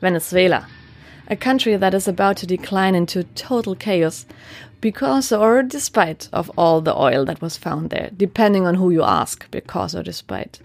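A woman reporter narrating a documentary report in a clear, steady, explanatory way. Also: fairly low pitch, normal speed, no room sound.

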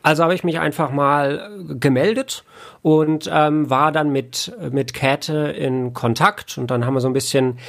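A man chatting casually as an interview guest, halting often with filler sounds as he explains. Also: on the high side, steady tone, a bit bright. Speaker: interview guest, audio editor and producer. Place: home studio, remote connection.

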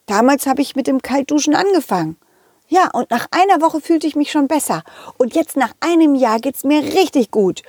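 A woman's voice: high voice, animated, normal speed, darkish tone, no echo, studio quality. A woman voicing a confident, loyal male dog in a children's story, encouraging, eager and friendly. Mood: eager, thoughtful.

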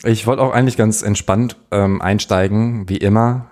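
Relaxed, low-pitched male podcast host. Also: normal speed. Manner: informal, teasing. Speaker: podcast interviewer. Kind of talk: casual conversational interview.

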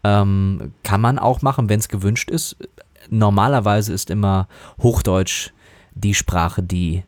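A man who works as a dubbing actor, talking casually and thoughtfully about his craft with many pauses and restarts. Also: a little mellow, dry recording, clean studio sound. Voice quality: relatively high voice. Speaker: voice actor guest. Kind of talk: casual interview talk.

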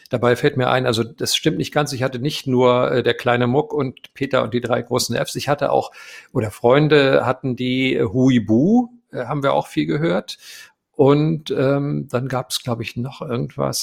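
Gravelly-voiced man rambling with fillers. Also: medium pitch. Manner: rambling, hesitant, many fillers. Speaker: guest, radio play voice actor. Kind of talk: casual interview chat.

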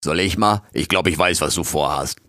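A man's voice: deep voice, moderate pace, sharp tone, dry recording, clean studio sound. A man voicing a cheeky male raccoon intern who grumbles in street slang. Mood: casual.

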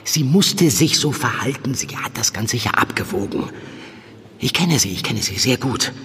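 A loyal, harried police investigator in a naturalistic audio drama. He is earnest and hesitant, always placating or defending, in a medium-pitched voice. It sounds like a reverberant room with faint noise. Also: expressive, moderate pace, a bit bright. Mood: defensive, earnest. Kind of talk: naturalistic fiction podcast acting in German.

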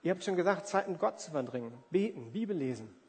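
Male preacher, forties, high-pitched voice, conversational sermon, emphatic, rhetorical questions, long hall reverb. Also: animated, fast talker. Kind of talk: sermon with everyday examples and acted-out asides.

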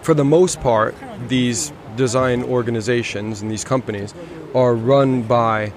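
A man chats in a relaxed, rambling way about what he has seen at a motor show. His voice sits at an ordinary middle pitch. A faint hum of the show floor runs under his voice. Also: a little slow. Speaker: car show reviewer. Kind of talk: casual walkaround chat. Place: busy motor show hall.

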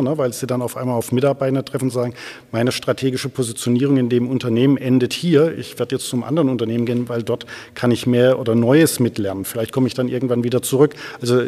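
A man with a dark, relaxed voice speaks as an expert guest in an interview. He explains discursively, often checking in with short tag questions. Long reverb hangs around his voice. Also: medium pitch. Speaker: interview guest, transformation researcher.

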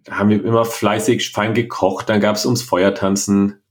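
A man's voice: fairly low pitch, flat delivery, moderate pace. A man co-hosting a podcast in casual banter, with a playful, chatty manner. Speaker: podcast co-host.

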